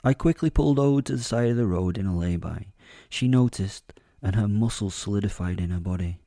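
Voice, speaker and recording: A man narrating first-person horror fiction in a measured storytelling manner. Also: on the low side, warm tone, no room sound, clean studio sound. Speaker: narrator and voice actor.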